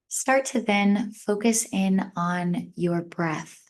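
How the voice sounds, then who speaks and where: A woman leading a guided mindfulness meditation as an instructor, speaking gently in short, measured phrases with long pauses between them. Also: mid-range voice.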